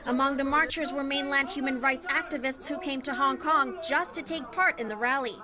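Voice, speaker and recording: A woman's voice: sits high, steady tone, a little fast. A woman delivering a news report in a scripted, on-the-scene style, as a reporter. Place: outdoors on a busy street at a protest. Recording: clear street noise behind the voice.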